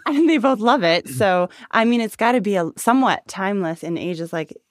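A woman's voice: mid-range voice, expressive, moderate pace, no room sound. A young woman co-hosting a podcast, chatting casually in an informal, good-humoured way. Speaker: podcast co-host. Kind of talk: casual chat. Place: recording studio.

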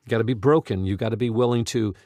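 A man hosting a devotional talk, retelling stories and quoting scripture in an earnest, emphatic, deliberate way. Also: medium pitch, a little mellow, no echo, clean studio sound. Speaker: podcast host and Bible teacher.

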